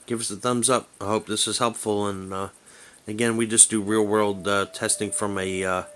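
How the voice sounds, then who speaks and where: Low-voiced man, casual rambling app demo. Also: level tone, moderate pace, a bit bright. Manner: rambling, hesitant. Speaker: amateur radio hobbyist presenting an app review.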